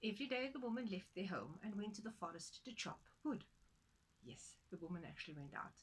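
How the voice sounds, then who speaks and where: A woman's voice: mid-range voice, expressive, a little fast, slightly rough. A woman storyteller narrating a folktale for children, dramatic and playful in her delivery.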